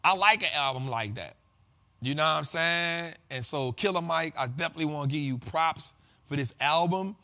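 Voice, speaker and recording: A man hosts a money talk show in loose, slangy conversation. His voice sits a little higher than most men's. He stacks repeated lines the way a preacher does. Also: moderate pace, vocal fry, bright, forceful tone. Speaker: host of a finance talk show. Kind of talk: casual motivational monologue.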